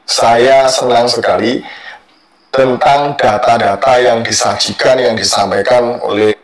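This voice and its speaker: A man giving a religious talk in a clip, speaking deliberately. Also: medium pitch, steady tone, poor audio. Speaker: speaker in a clip being commented on.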